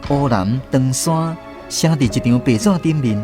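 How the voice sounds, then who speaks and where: A man with a Taiwanese accent narrating a scripted radio drama in an expressive, dramatic way. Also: medium pitch, a little slow, music in the background.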